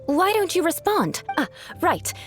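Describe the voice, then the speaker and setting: A woman's voice: very high-pitched, sing-song, unhurried, over music, full character voice. A woman voicing a proud, composed young noblewoman knight in a video game, with formal, haughty phrasing. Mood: indignant. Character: proud young aristocratic female knight, composed yet vengeful.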